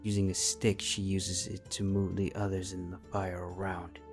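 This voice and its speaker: Low-voiced man narrating a story. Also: completely flat delivery, normal speed, soft background music. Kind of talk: scripted second-person story narration.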